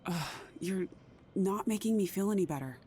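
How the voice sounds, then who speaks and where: A woman acting a careful, troubled career mother in an intimate audio drama, measured and sometimes hesitant. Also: medium pitch, animated, dark tone, a little hiss or hum, close to an everyday voice. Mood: troubled, firm.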